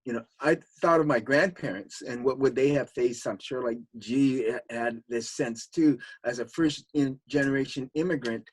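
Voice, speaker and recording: A man's voice: mid-range voice, moderate pace, few pauses, husky, no room sound. Reflective man on a dry-sounding call. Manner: conversational, frequent fillers and repeated words. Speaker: panelist. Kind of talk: reflective panel discussion. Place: video call.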